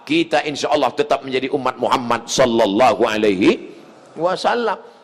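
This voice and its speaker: Man preaching with sweeping shouted appeals. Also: mid-range voice, expressive, normal speed, long reverb tail. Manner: swings from quiet asides to loud shouted appeals. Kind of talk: mosque sermon. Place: mosque.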